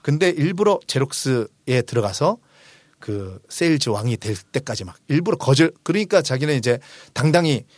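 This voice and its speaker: A man's voice: mid-range voice, lively intonation, normal speed, no room sound. A man in his forties hosting a book discussion, explaining ideas conversationally and emphatically. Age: 40s. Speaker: host of a book discussion show; restaurant owner.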